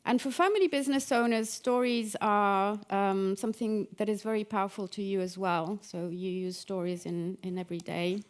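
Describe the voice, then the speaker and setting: A woman's voice: mid-range voice, fairly even intonation, normal speed, hardly any pauses, clear, resonant tone. A middle-aged woman who grew up in Italy and the UK, a professor giving a conversational conference talk with frequent fillers and restarts. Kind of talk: academic conference presentation.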